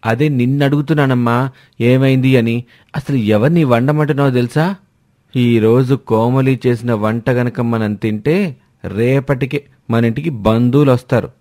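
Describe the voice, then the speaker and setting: A man's voice: mid-range voice, steady tone, moderate pace, darkish tone, natural voice. A man voicing a sarcastic grown son who mocks his wife. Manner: sarcastic, mocking.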